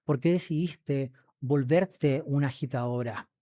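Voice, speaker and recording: A man's voice: mid-range voice, measured pace, mellow. A man hosting a conversational interview, speaking effusively and emphatically and often addressing the audience. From Chile. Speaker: podcast host.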